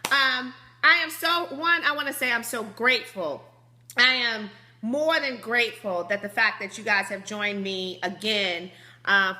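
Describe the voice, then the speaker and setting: A woman in her thirties with a high, slightly squeaky voice, hosting a business conference call in an upbeat, chatty way over a phone line. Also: pushed and bright. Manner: bubbly, laughs often, chatty. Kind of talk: upbeat motivational call talk.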